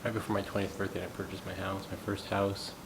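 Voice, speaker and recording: Brief: thirties male, from the US, musing monologue, flat delivery, rumbling noise in the background. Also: gravelly. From United States. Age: 30s. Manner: thoughtful, unhurried, musing aloud. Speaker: gong practitioner sharing thoughts. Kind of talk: reflective personal monologue.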